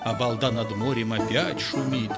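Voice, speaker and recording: A man reading a verse fairy tale aloud in a theatrical, lively storytelling manner. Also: medium pitch, moderate pace, over loud music. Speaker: narrator.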